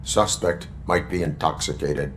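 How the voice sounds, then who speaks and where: A man playing a stoic cyborg police officer in a video game, instructing in a formal, deadpan, measured way. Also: rumbling low, slight background noise.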